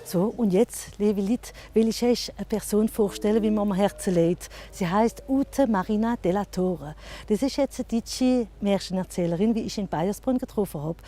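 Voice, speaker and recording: A woman's voice: mid-range voice, normal speed, warm tone, a little hiss or hum. A middle-aged woman with an Alsatian accent, a storyteller whose first language is Alsatian, talking about her work and telling a fairy tale in a lilting way. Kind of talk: storytelling and interview.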